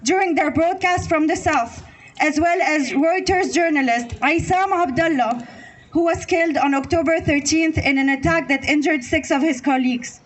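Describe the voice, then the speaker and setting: High-voiced woman from Lebanon at a rally. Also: normal speed, poor audio. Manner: earnest, listing items one by one. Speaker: rally speaker. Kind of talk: prepared speech read to a crowd. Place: outdoor public square.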